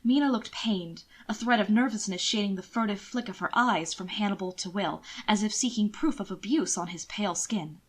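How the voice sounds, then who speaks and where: A woman's voice: medium pitch, moderate pace, hardly any pauses. A woman narrator giving a scripted audiobook reading of prose fiction.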